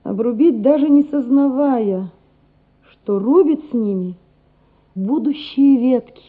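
An actress playing a loving, anguished gentry mother in a radio play, earnest, measured and emotional. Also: a little high. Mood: brooding. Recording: muffled, phone-like radio play recording.

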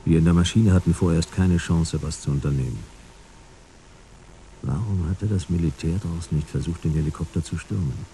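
Laconic detective narrator in sci-fi radio drama: extremely dark, gravelly, dry and terse. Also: male voice, low-pitched, moderate pace, a little hiss or hum, poor audio. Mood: dry, detached. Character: laconic, world-weary male detective narrating his case. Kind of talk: scripted first-person noir narration in radio play.

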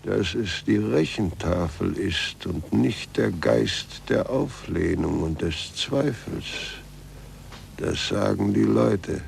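An actor playing a stern, calculating church inquisitor with a gravelly voice and measured, solemn speech in a 1940s German radio play. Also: male voice, lowish voice, full character voice. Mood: stern, insistent. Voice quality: gravelly, creaky. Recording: faint noise and hum, vintage radio.

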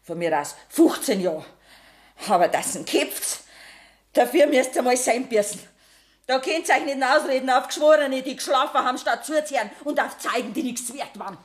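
Radio play casting: a defiant, bitter Bavarian convict's mother, earthy and blunt, voice on the high side. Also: animated, moderate pace. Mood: pleading, reproachful. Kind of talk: 1970s German radio play acting.

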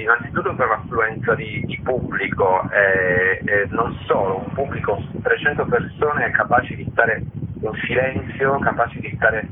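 A man giving a spontaneous interview as a theatre guest, speaking haltingly and emphatically, repeating words for stress. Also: medium pitch. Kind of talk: spontaneous radio interview by phone. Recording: tinny phone line with clear noise.

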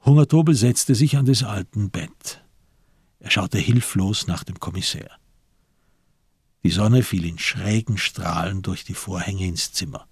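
A man narrating a radio drama from a script, in a measured literary storytelling manner. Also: low-pitched, breathy edge, no room sound, clean studio sound.

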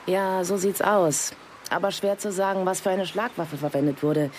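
A man's voice: sits high, fairly even intonation, normal speed, a little hiss or hum, natural voice. A man playing a dry, matter-of-fact forensic investigator in a radio drama. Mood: dry, factual.

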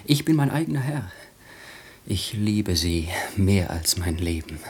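An actor playing a courtly, smooth-talking count in a 1960s German radio play, ardent and polished. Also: male voice, low-pitched, very animated, a little slow, exaggerated voice. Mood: ardent pleading.

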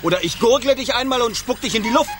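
A man's voice: very high-pitched, expressive, fast talker. A man voicing a swaggering, teasing wind spirit in a children's radio play, playful and taunting. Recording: music behind the voice. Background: music.

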